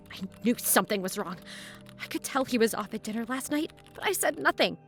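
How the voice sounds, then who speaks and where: An actress playing an anxious, confrontational grown daughter, young, emotional and accusing, in an audio drama. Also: female voice, on the high side, expressive, a little fast, fairly bright tone, soft background music. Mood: anxious regret. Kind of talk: modern audio drama acting.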